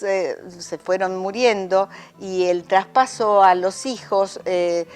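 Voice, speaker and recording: A woman speaking in an interview, pausing often and hesitating. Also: medium pitch, darkish tone. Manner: hesitant, with many fillers. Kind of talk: reflective interview talk.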